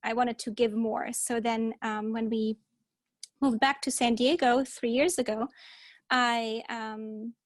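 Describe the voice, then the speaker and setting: Woman, thirties, German accent, German native, fairly high voice, dry close recording, casual podcast chat. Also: steady tone, no room sound. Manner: thoughtful, pauses with frequent ums, laughs at herself. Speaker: podcast co-host.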